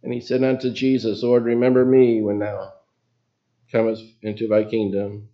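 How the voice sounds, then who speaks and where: A man with a very low voice leading prayer and giving an informal sermon in a small church, reading scripture and losing his place now and then. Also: little pitch movement, normal speed, dull audio. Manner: folksy, loses his place and apologizes. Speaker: lay preacher. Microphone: PA microphone.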